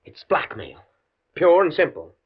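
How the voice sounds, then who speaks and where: An actor playing an anxious, principled professor in 1950 radio drama style, hesitant, stammering and earnest. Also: male voice, fairly high pitch, big pitch swings, unhurried, slightly rough, heavily acted. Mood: distressed. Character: anxious, principled male professor trapped by blackmail. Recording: muffled old radio recording.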